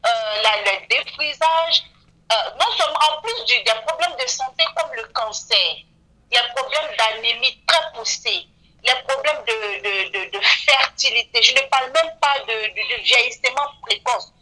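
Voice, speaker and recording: A woman, speaking earnestly as a beautician guest on a radio interview and often filling pauses with "voilà". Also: on the high side, animated, bright, forceful tone, rough recording. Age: 40s. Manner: earnest, frequent "voilà" fillers.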